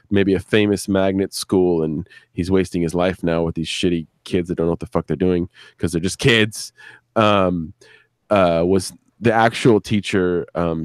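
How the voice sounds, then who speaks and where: A man with a fairly low voice talks casually on a live stream. The sound is very dry. He hesitates, restarts to find the right word, and swears freely as he explains. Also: dry recording. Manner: hesitant, searching for words, frank and profane. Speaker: show creator and podcast guest.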